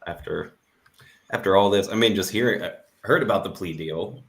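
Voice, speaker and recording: A man's voice: sits low, moderate pace, vocal fry. A man chatting casually as a guest on a live show. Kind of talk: casual chat.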